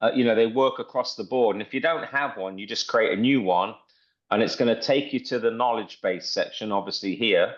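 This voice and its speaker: Low-voiced man giving a dull-sounding software walkthrough. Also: fairly quick. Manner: informal, step-by-step explaining. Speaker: software demo presenter. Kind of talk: casual screen-share tutorial walkthrough.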